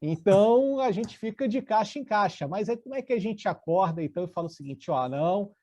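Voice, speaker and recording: A man co-hosting who asks the guest questions in a playful, lively way. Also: high voice, brisk, muffled recording. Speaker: co-host. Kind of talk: casual interview question.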